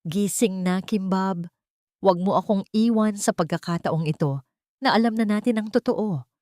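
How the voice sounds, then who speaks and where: A woman's voice: medium pitch, moderate pace, darkish tone, dry recording, lightly acted. A woman plays a young heiress in a romantic audio drama, devoted and resolute. She speaks with tender earnestness, in a very dry recording. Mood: pleading whisper.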